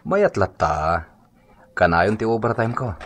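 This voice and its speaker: A man's voice: fairly low pitch, very animated, unhurried, a bit bright, slight background noise. A man playing a hardworking, patient husband in an Ilocano radio drama, speaking earnestly. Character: hardworking patient husband working away from home.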